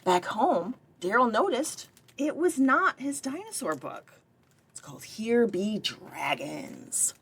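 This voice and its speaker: A woman's voice: a little high, sing-song, slow pace, a bit bright, breathy edge. A woman reading a picture book aloud for story time, expressively, with chatty asides to young listeners. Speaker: library director and former children's librarian reading aloud.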